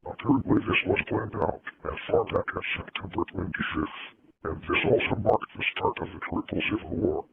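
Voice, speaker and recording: A man playing a grim radio announcer, reading a formal scripted emergency bulletin in a gravelly voice. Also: low-pitched, moderate pace, dry recording, exaggerated voice. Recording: tinny radio-like band, noisy with clicks.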